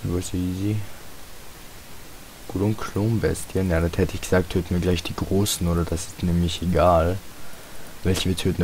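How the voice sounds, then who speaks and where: A fourteen-year-old boy with a slightly hoarse voice, giving casual, rambling, self-deprecating gaming commentary in slangy speech. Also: low-pitched, poor audio. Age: 14, teenager. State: slightly hoarse, sore throat. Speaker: gaming video host. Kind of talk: casual Let's Play commentary. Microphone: home computer microphone. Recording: hissy home microphone with steady noise.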